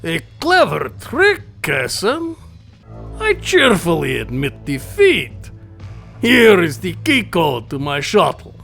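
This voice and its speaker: A villainous hunter in an audio drama, gloating with pompous, lecherous courtesy. The voice is bright and forceful, set a little high, and swings widely between silky taunts and demands. It is theatrical and formal throughout. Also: male voice, huge pitch swings, slow, vocal fry, faint music behind, heavily acted. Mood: mock gracious.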